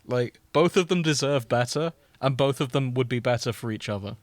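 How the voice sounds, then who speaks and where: A man co-hosting a casual podcast, speaking at a middling pitch. He sounds jokey and playful, as if bantering with friends. The sound is close and dry. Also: brisk, dry recording. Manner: jokey, often laughing mid-sentence. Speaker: podcast co-host. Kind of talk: casual chat with playful reading aloud of fan fiction.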